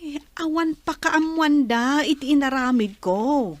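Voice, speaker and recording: A woman's voice: high voice, a little slow, no echo. A woman playing a defensive straying wife in an Ilocano radio comedy drama.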